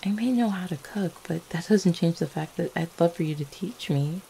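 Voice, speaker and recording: A woman playing a gentle, devoted vampire girlfriend in an ASMR roleplay, speaking in a tender, hesitant, affectionate manner. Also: on the low side, normal speed, creaky, low-quality recording. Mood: playful, affectionate. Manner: soft-hearted, hesitant, tender. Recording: faint steady rain-like background noise. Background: rain falling.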